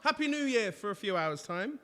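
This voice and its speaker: Man, loud jokey voice, slightly high, home livestream host, long reverb. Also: big pitch swings, brisk. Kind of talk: casual livestream chat. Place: living room at home.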